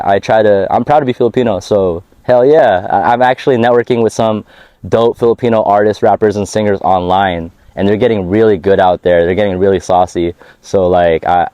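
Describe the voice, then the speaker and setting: A man's voice: low voice, warm tone, clear, resonant tone, no echo, highs cut off. A man giving a casual interview about his music, talking in a laid-back slangy way and laughing often. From United States. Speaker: rapper being interviewed. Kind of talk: casual interview chat on a hike. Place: outdoors on a hike.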